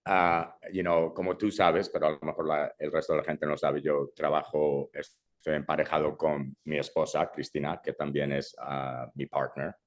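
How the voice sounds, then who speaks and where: A man's voice: extremely low pitch, flat delivery, normal speed. A young adult man from Brazil who grew up in Madrid, a filmmaker talking casually in an interview, often dropping English fillers and restarting his sentences. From Brazil, raised in Madrid. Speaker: filmmaker guest.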